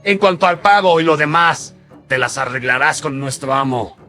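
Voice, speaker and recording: A man's voice: a little high, steady tone, normal speed, bright, forceful tone, soft background music, voice pushed up. A man voicing a sinister, mocking hunchback servant in a horror radio drama, with a filtered voice. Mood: sardonic, commanding. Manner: sardonic, menacing. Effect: voice processed with audio filters.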